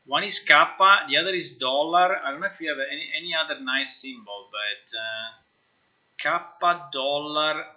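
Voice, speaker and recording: A man with a very bright, forceful voice talks casually through his work on a live stream. He is a non-native English speaker who mutters to himself, repeats phrases and swears freely. The recording sounds somewhat dull and lo-fi. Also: a little high, moderate pace. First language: not English. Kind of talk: casual live stream chat, thinking aloud. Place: indoors at a computer.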